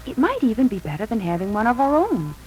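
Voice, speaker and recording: An actress playing a gentle, hopeful young wife and mother, tender and expressive in 1940s radio drama style. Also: a little high, fast talker, slight background noise, rough recording. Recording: vintage radio recording with music.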